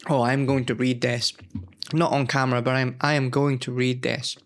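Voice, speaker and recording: A man's voice: mid-range voice, little pitch movement, normal speed. A man hosting his own gaming channel, talking casually and rambling as he looks back through old magazines, stopping often to correct himself. He is feeling unwell. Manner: rambling, self-correcting, thinks aloud. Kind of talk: casual nostalgic commentary.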